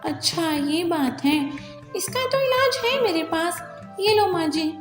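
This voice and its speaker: A woman doing a shrill, giddy young bride. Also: unhurried. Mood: worried. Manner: shrill, high and excitable. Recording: echoey room with long reverb and music.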